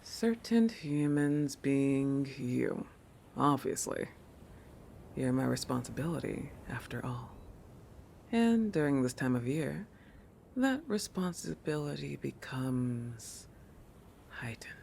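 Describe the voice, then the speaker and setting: A woman's voice: extremely low pitch, sing-song, slow, vocal fry, warm tone, a little hiss or hum, heavily acted. A woman voicing a proud, possessive young shark girl in a roleplay monologue, with a haughty, teasing manner that keeps correcting itself. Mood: coy, possessive. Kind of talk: roleplay audio monologue addressed to the listener.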